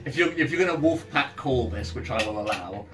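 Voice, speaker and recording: Male game master, casual narration and rulings, mid pitch, background music present. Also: expressive, fairly quick. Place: in-person game around a table.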